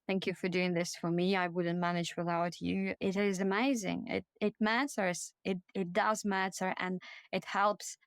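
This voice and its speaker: A woman from Ukraine speaking English with a Ukrainian accent, answering interview questions earnestly with frequent pauses. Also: on the low side, steady tone, dry recording, clean studio sound. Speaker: guest, charity project lead. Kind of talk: interview conversation.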